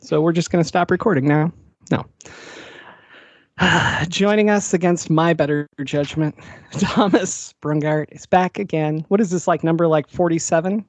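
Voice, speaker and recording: A man with a fairly high voice co-hosts a podcast, reading sponsor spots and teasing his guests playfully. The recording is dry and close. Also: moderate pace, dry recording. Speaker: podcast co-host. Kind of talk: casual podcast chat and ad reads.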